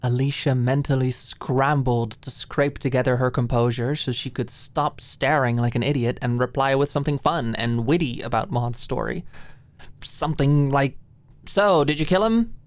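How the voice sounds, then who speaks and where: A woman narrating an audiobook in an intimate ASMR style, expressive and deliberate, with dramatic pauses and breathy softening. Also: extremely low pitch.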